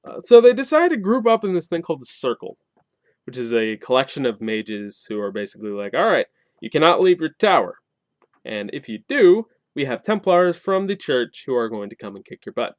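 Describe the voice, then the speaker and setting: A man's voice: mid-range voice, dry recording, clean studio sound. A man giving a casual spoken review and explaining a game setting, colloquial and wry with sarcastic asides. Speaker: tabletop game reviewer and host.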